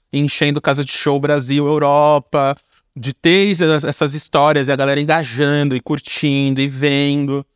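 Brazilian man with a slightly high voice. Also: clean tone, dry recording, clean studio sound. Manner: informal, slangy, with frequent tag questions. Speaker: guest, screenwriter and filmmaker. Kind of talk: casual conversational interview answers.

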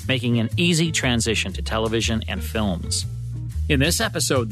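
A man's voice: medium pitch, fairly bright tone. An American man hosting a radio show, reading scripted promotional and introductory narration.

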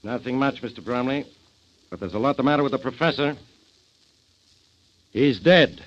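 An actor playing a curt, sardonic, commanding police inspector in a 1940s radio drama. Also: male voice, medium pitch, animated, normal speed, close to an everyday voice. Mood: grimly sardonic. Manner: curt, tough-talking, commanding. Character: gruff, sardonic, bossy male police inspector. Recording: dull, noisy 1940s radio recording.

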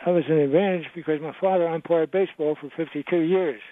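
A man's voice: on the high side, steady tone, quick pace. Elderly man rambling over a tinny phone. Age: 80s. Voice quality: rambling, reminiscing. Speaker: caller. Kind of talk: phone-in radio call. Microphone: telephone. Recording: tinny phone line, dry.

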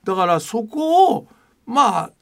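A male talk show host with a high voice and highly animated intonation. He speaks casually and colloquially, laying out his opinions bluntly and with emphasis. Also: measured pace.

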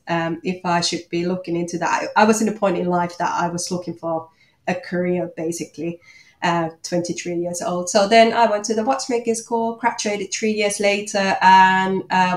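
A woman with a Finnish accent answering interview questions as a guest, speaking in a hesitant, halting way. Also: on the low side, steady tone. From Finland. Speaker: interview guest, watchmaker and small business owner. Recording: echoey room.

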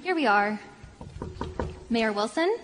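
A woman playing a brisk, deferential official aide in a comic radio play. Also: a little high, expressive, a bit bright, a little hiss or hum. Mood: brisk, officious. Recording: reverberant hall, audible audience.

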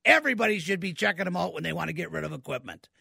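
A man's voice: fairly high pitch, expressive, racing, gravelly, bright, forceful tone, breathy edge, no echo, studio quality. A man, co-hosting a radio call-in show and giving tech advice with enthusiasm, repeating words for emphasis. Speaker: tech expert co-host.

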